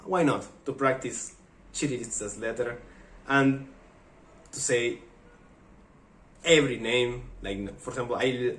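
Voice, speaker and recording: A man's voice: mid-range voice, a bit bright. Eager Mexican-accented man in echoey room. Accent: Mexican Spanish. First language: Spanish. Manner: eager, chatty, halting non-native phrasing. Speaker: vlogger. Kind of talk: casual talk to camera.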